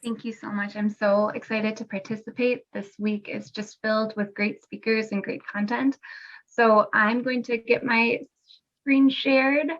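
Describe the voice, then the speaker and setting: A woman's voice: on the high side, flat delivery, unhurried, a bit bright. A woman presenting a webinar in a friendly, conversational way, recovering from a cold, heard over a video call. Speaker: guest presenter, parent educator. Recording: muffled lo-fi video call audio.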